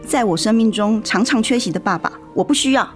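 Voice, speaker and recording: Woman playing sulky teenage girl, high voice, defiant elder sister, radio drama delivery, faint music behind. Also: fairly quick, lightly acted. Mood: bitter.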